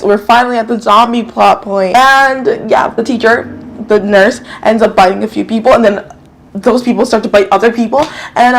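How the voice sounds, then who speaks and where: A woman with a fairly high voice and a lot of gravelly fry, talking her way through a show review in loose, chatty vlog fashion. She rambles, stops to correct herself, and drops the odd swear. She grew up in New York, and Japanese is her first language. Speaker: YouTube drama reviewer.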